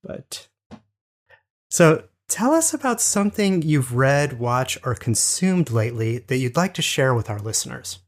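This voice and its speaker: Male podcast host, mid-pitched, enthusiastic and halting, loose conversational interviewing style. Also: very animated. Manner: halting, repeats words, enthusiastic. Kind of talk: casual literary interview.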